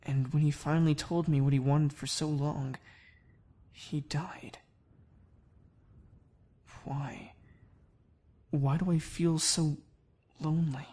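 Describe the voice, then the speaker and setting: A man's voice: fairly high pitch, little pitch movement, slow pace, pauses often, mellow. A man playing an earnest young soldier who speaks hesitantly. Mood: grieving. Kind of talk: fan dub of a sci-fi anime parody.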